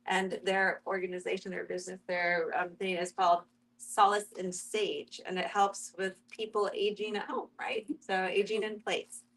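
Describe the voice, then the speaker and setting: Woman with mid-pitched voice, informal host. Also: little pitch movement, moderate pace, a bit bright, rough recording. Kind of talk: casual group discussion.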